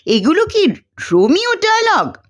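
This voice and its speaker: A woman's voice: very high-pitched, wildly swooping pitch, a little slow, pitched above the performer's own voice, full character voice. A woman voicing a young female student in a storybook, dramatic and easily exasperated, with an emphatic, exclaiming manner. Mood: astonished, scolding. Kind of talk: storybook character dialogue.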